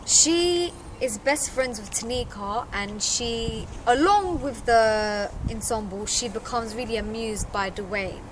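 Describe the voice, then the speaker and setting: A woman's voice: fairly high pitch, slow, few pauses. A sixteen-year-old young woman speaking as an actor, informally introducing her part. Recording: clear ambient background noise.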